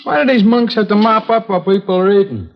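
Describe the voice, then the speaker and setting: A man's voice: high-pitched, fast talker, vocal fry. An American man acting a casual, remorseless drifter who confesses to killings in a 1950s radio drama, talking in an offhand, rambling way on a dull, noisy old recording. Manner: offhand, matter-of-fact, rambling.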